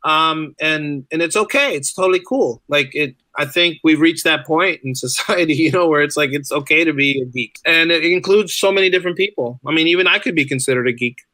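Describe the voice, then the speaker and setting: A man with a fairly high, very bright voice talks as an interview guest. He is enthusiastic and talkative in a casual, conversational way, and the sound is close and dry. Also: fairly quick, hardly any pauses, dry recording. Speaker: podcast guest, writer and podcaster.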